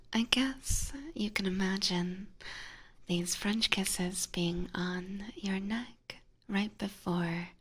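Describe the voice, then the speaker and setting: A woman voicing a flirty, predatory vampire catgirl in a breathy ASMR whisper, close to a binaural mic. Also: on the low side, exaggerated voice. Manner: slow, coaxing, teasing. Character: flirty, teasing female vampire catgirl, soothing yet predatory. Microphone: close binaural microphone.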